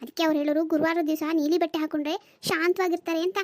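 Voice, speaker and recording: Casting: performer to play a scatterbrained cartoon mother and wife, extremely high-voiced, quarrelsome, full of nagging exclamations. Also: level tone, racing, a little mellow. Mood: defensive. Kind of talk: comedy cartoon dialogue.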